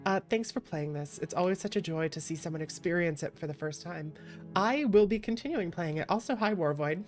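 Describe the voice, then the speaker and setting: Lowish-voiced woman streaming a game. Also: expressive, brisk, a little mellow. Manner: rambling, repeats phrases, thinks aloud. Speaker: streamer playing a visual novel. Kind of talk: casual live game commentary. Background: game music.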